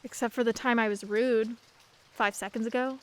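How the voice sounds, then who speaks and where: A woman's voice: fairly high pitch, fairly even intonation, moderate pace, natural voice. A young woman playing a shy, bookish teenage girl in a radio play, hesitant and dryly self-mocking. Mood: wry.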